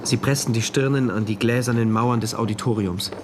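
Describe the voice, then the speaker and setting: An actor playing a pensive, uneasy mathematician who narrates his diary in a measured, introspective way in a radio drama. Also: medium pitch, steady tone, background noise, natural voice. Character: pensive male mathematician diarist in a dystopia, uneasy and orderly. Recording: music playing behind the voice.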